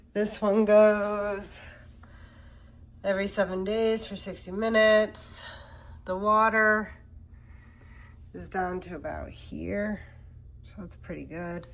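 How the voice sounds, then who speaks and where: A woman hosting a plant channel, congested from a cold, chatting casually as she walks through her home. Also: mid-range voice. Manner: relaxed, chatty, walking through rooms with fillers. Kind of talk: casual home-tour vlog. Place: home, moving between rooms.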